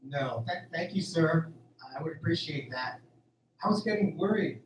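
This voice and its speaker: In an echoey, slightly distant recording, a man plays an earnest farmhand in a radio play. His voice sits fairly high, and he talks plainly with a touch of uneasy hesitation. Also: lively intonation, normal speed, natural voice. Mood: nervous politeness. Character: earnest, polite, uneasy young male farm worker. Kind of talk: radio drama acting. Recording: roomy, distant, faint noise.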